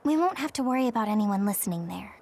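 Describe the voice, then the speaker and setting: A woman's voice: mid-range voice, lively intonation, fast talker, a little mellow. An actress voicing a polite, cautious and concerned young woman squad member in an English-dubbed anime game. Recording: game music behind the voice.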